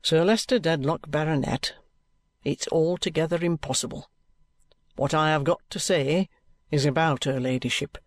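A knowing, unhurried police detective, voiced by a male reader, steady and persistent as he presses his point. The voice sits a little high for a man and sounds close and dry. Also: no echo, natural voice. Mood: patient, insistent. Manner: steady, persistent, respectfully insistent. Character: shrewd, patient middle-aged police detective. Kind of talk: audiobook character reading.

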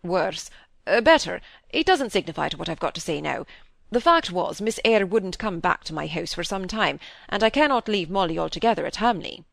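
Lady narrator doing a sensible gentleman in an old novel, middle-aged, dry, bit impatient, lively pitch. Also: moderate pace, creaky, no room sound.

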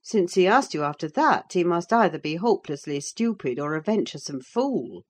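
A woman's voice: lowish voice, sing-song, normal speed. A woman voicing a no-nonsense, sardonic female servant in a dramatised audiobook, dry, sententious and scolding. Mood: scornful. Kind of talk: dramatised audiobook reading of a classic novel.

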